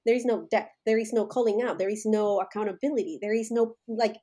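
A woman's voice: on the high side, steady tone, fast, mellow. A woman from Colombia, a guest and activist, speaking in an emphatic interview style that repeats key phrases for stress. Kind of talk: conversational interview.

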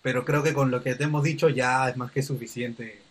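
A man's voice: mid-range voice, fairly even intonation, fairly quick. Man, podcast host, casual talk, tag questions, este fillers, video call.

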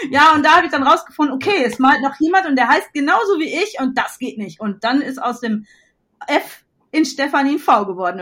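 A bubbly woman in her forties from western Germany talks as a podcast guest in a bright, high voice. She laughs easily and wanders through her stories with plenty of fillers. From North Rhine-Westphalia, Germany. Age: 40s. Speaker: podcast guest, illustrator and start-up founder. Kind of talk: casual interview chat.

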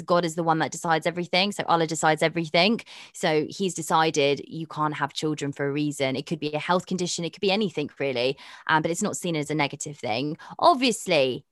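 A woman's voice: low-pitched, fairly quick, hardly any pauses, fairly bright tone, no room sound, studio quality. A young woman from Pakistan, a media presenter, talking frankly and chattily in an interview, thinking aloud with fillers. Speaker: presenter and dating podcast host, guest. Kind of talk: candid interview conversation.

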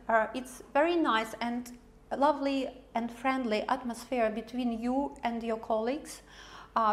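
High-voiced woman TV interviewer. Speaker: TV channel host, interviewer. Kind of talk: interview questions.

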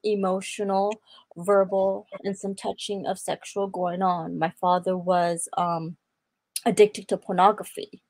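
A young woman from Atlanta, Georgia, giving an earnest personal testimony in a faith interview, quoting scripture and pausing on fillers. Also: mid-range voice, level tone, darkish tone, dry recording. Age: young adult. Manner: earnest, preaching tone with scripture quotes. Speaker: guest.

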